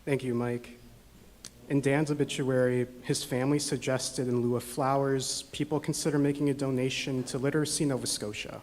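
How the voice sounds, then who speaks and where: A man hosting a book awards ceremony, giving steady, scripted remarks read from notes. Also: medium pitch, moderate pace. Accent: Canadian.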